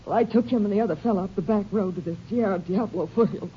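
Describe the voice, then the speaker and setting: A woman's voice: mid-range voice, quick pace, lightly acted. Brief: actress as an anxious, pleading young woman, dull old broadcast sound, faint hiss. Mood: frightened. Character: frightened young woman hiding an outlaw. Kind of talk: 1950s radio drama acting. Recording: dull old radio recording.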